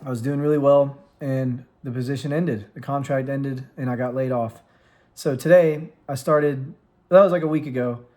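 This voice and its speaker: A man's voice: medium pitch, steady tone, warm tone. A man in his late twenties from the United States, talking earnestly into a microphone at home in a casual, rambling vlog with many restarts. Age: 20s. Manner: earnest, rambling, with frequent restarts and trailing pauses. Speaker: vlogger and streamer. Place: room at home with lights, plants and a cat on his lap. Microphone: studio microphone.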